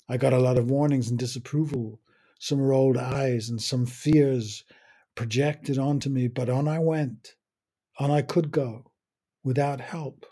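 An older man muses over his memories in a measured, unhurried monologue. His voice is mellow and a little husky, and he lets short phrases fall apart with long pauses between them. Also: medium pitch. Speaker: podcast host. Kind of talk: reflective personal monologue.

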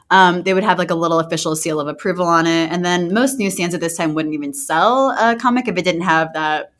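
A woman hosting a casual podcast, talking in an informal, halting way. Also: on the low side, fairly bright tone. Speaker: podcast host.

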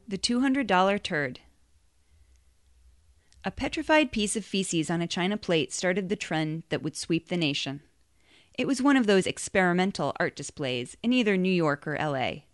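A woman's voice: lowish voice, animated, moderate pace, no echo, clean studio sound. A woman narrating a short story in a conversational first-person style.

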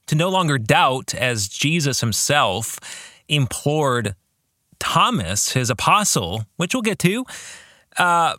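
Slow, bright-voiced man with animated pitch. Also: no room sound, studio quality. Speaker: podcast host and novelist.

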